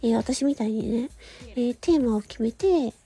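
A woman's voice: fairly high pitch, moderate pace, slightly rough. A woman hosting a solo audio show, chatting casually and thinking aloud in a conversational way. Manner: conversational, thinking aloud, frequent soft agreeing particles. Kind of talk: casual monologue on a chosen theme.

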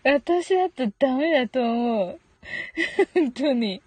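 A woman's voice: high voice, animated, takes it slowly, no room sound. A woman hosting a casual live audio chat, answering listener comments in a giggly way, often repeating short phrases of agreement.

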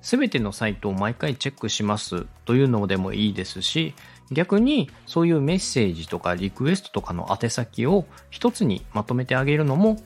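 Man teaching with lively, swooping intonation. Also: mid-range voice, brisk, hardly any pauses, soft background music. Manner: polite, instructive, step-by-step. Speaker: podcast host and radio director teaching podcast making. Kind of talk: explanatory teaching talk.

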